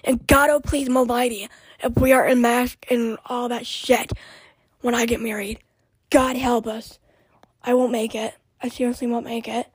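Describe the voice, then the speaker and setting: A young woman with a high, raspy voice hosts a casual podcast. She talks in a loose, scattered way, often slipping into whispered asides. The sound is dry and close. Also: level tone, normal speed, no echo. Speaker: podcast host. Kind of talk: casual rambling chat.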